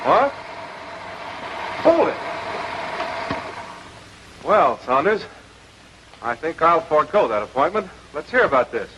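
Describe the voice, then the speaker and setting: Stern, bright-voiced American major. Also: male voice, on the high side, very animated, muffled recording, full character voice. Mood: surprised, intrigued. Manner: brisk, authoritative, clipped questioning. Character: stern, exacting army air force major instructing pilots. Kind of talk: 1940s military training film acting. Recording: noisy old 1940s film soundtrack.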